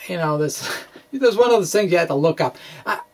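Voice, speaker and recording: High-pitched, halting middle-aged man explaining. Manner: halting, hesitant, wry asides. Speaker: physics blogger and lecturer. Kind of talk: informal physics lecture with derivations. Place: small indoor room with bookshelves. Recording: clear steady background noise.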